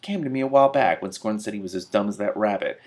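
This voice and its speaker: A man's voice: fairly low pitch, fast talker, a little mellow, natural voice. Man reading as a stern, wry witch. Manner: stern, dry. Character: brisk, wry, commanding witch woman.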